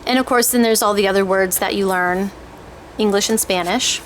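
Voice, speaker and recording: A woman's voice: mid-range voice, moderate pace, low-quality recording. A woman giving a casual, explanatory product overview in an informal, hesitant way, as if thinking aloud. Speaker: homeschooling parent reviewing language kits. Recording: clear background noise.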